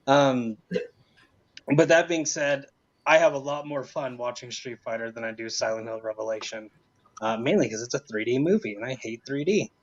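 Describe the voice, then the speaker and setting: A man's voice: mid-range voice, normal speed. A man on a panel casually giving his opinions on films. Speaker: film panelist. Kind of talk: casual chat. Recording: slightly dull, lo-fi mic.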